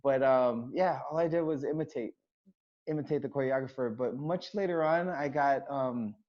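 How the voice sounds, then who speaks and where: A man's voice: medium pitch, moderate pace. A man from the United States, raised in Hawaii, a choreographer and dancer chatting casually as an interview guest, rambling with many fillers and restarts. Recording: dull lo-fi sound.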